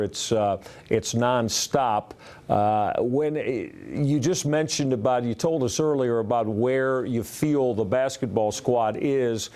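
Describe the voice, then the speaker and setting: Male American sports host, mid-pitched, wordy and conversational, circling into long halting questions. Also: mellow. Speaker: sports show host interviewing a guest.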